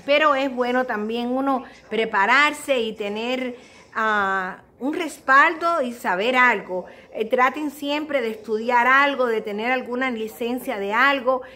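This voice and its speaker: A middle-aged woman with a Cuban accent speaks in a fairly high voice. She talks casually and earnestly, straight to her viewers, as if confiding and giving advice. It is recorded on a phone held at arm's length in a living room. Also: bright, forceful tone. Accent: Cuban Spanish. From Cuba. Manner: earnest, addresses viewers directly, motherly advice. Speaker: vlogger. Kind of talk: casual confessional chat to camera. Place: small living room at home.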